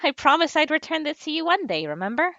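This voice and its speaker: A woman's voice: high voice, sing-song, fast, creaky, bright, forceful tone, lo-fi sound, voice pushed up, full character voice. A woman playing a cheeky girl thief. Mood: teasing. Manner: teasing, playful. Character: cheeky young female thief, playful.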